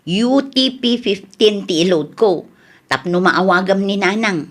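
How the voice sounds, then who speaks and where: A woman's voice: mid-range voice, unhurried, natural voice. A woman with an Ilocano Filipino accent playing an everyday, defensive young woman in a radio drama, conversational and exclamatory.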